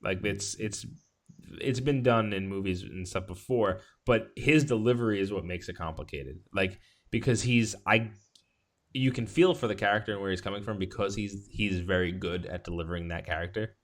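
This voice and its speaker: A man with a lowish voice talks casually as a podcast co-host. He is agreeable in manner and feels his way through sentences, often backing up to rephrase. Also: moderate pace. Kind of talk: casual movie discussion.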